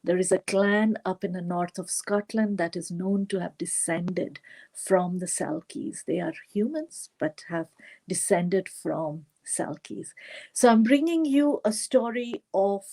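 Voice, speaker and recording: Very slow-paced Indian woman storyteller. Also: mid-range voice, warm tone. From western India. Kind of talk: introducing a story to an online audience.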